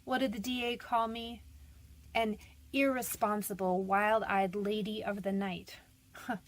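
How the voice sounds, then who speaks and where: A woman's voice: medium pitch, flat delivery, measured pace, natural voice. An actress playing a frightened but defiant young convicted woman in a radio detective drama, defensive, pleading and slangy. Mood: resigned.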